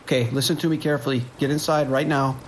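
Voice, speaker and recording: A man's voice: mid-range voice, fairly even intonation, fast talker, a little hiss or hum, lightly acted. A man playing a desperate police dispatcher under siege in a horror audio drama, talking in urgent, clipped, commanding emergency-radio style.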